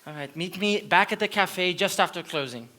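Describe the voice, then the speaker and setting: A woman's voice: lowish voice, moderate pace, sharp tone. A young woman on a school stage playing an earnest, bookish occult mystic. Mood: planning, brisk. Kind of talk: school stage play acting. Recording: live school stage recording.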